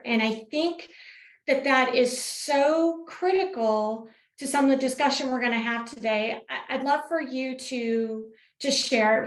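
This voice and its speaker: A woman hosting a business interview show, introducing her guest in a friendly, slightly halting way. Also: sits high. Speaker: podcast host. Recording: roomy echo.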